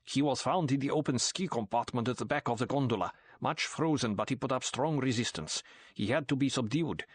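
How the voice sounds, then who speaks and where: A man voicing a stiff male guard giving a rapid, clipped report to his master. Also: medium pitch, husky, no room sound, natural voice. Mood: dutiful.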